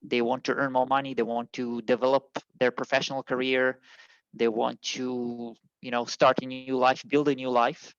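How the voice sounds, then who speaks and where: A man from Israel, an immigration consultant, answering interview questions in a halting way with many fillers and restarts. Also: mid-range voice, flat delivery, clean tone, no echo, lo-fi sound.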